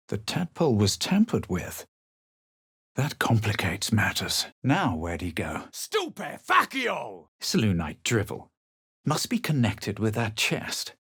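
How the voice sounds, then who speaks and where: An actor playing a haughty, coolly superior fantasy adventurer in a video game, with a husky voice and deliberate delivery. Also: male voice, fairly low pitch, fairly bright tone, no echo, studio quality, full character voice. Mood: haughty, composed, then forceful spell casting. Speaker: video game player character. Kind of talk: scripted game voice lines, narration and remarks.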